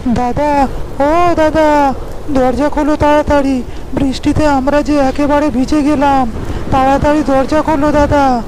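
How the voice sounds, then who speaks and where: A woman's voice: high-pitched, little pitch movement, unhurried, noisy background. Woman voicing a kind, earnest poor farmer. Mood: urgent. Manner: earnest, comforting. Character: poor hardworking farmer, kind and reassuring man. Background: cartoon background music.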